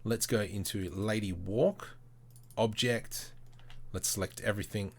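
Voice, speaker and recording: A man with a fairly low voice hosts a casual screen-share tutorial on a livestream. He thinks aloud in short step-by-step phrases, with informal, slangy wording. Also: steady tone, unhurried, a little hiss or hum.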